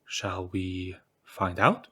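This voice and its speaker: A man voicing a courteous, wistful male ghost guide with a dramatic, halting delivery in an audio play. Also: low voice, loud music behind the voice. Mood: sad, reflective.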